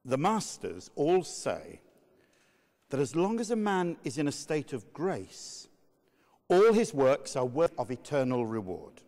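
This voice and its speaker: A man reading a sermon as narrator in a measured, emphatic, oratorical way. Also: on the high side, big pitch swings, darkish tone. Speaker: narrator, reader. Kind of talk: dramatic reading of a medieval sermon. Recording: long reverberant hall echo.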